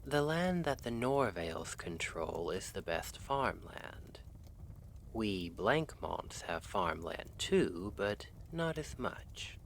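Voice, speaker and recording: A man playing an earnest, lovestruck assassin from a noble house in an ASMR roleplay, speaking in measured, formal, hesitant phrases. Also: on the low side, big pitch swings, creaky, slight background noise, full character voice. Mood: earnest, cautious.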